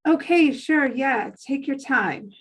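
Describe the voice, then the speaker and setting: A woman's voice: high-pitched, animated, slow pace, pitched above the performer's own voice, poor audio. A woman voicing an eager, over-accommodating female host at a table read, bubbly and anxious to please. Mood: nervously cheerful.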